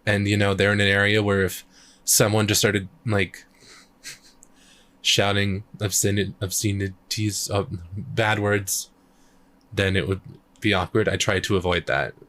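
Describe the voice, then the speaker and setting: A man chatting casually on a live stream, thinking out loud as he works on his music, his voice a little croaky. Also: on the low side, level tone, measured pace, pauses often. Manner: rambling, thinks aloud while working. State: slightly croaky voice. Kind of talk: casual livestream chat.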